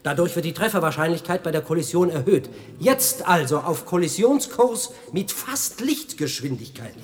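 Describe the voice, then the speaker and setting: An actor playing a showy physics guide, lecturing a tour group in a declamatory, explanatory way in a radio play. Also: male voice, a little high, animated, normal speed, lightly acted.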